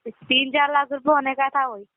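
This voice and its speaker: High-voiced Hyderabadi teenage boy on phone. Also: steady tone, moderate pace, no room sound. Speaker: caller asking for money. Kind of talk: casual phone conversation. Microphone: telephone. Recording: tinny narrowband phone call recording.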